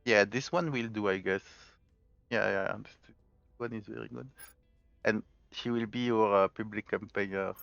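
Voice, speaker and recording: Man, mixed French-Spanish-Galician accent, low voice, game commentary, thinking aloud, dull dry lo-fi recording. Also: steady tone, normal speed, creaky, fairly bright tone, dry recording, faint music behind. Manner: thinking aloud, explaining step by step. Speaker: gaming channel host. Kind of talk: let's play commentary.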